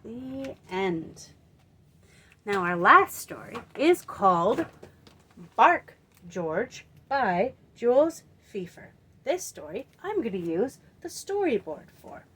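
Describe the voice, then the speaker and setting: A woman presenting a children's storytime, singing and telling stories in a playful, expressive way. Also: on the high side, slightly rough. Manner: playful, expressive, drawn-out emphasis.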